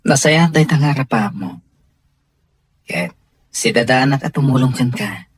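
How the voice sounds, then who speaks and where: A man playing a sincere young gentleman employer in an Ilocano radio drama, with an Ilocano accent and a breathy voice. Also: medium pitch, animated, moderate pace. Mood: tender, earnest. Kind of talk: Filipino radio drama acting.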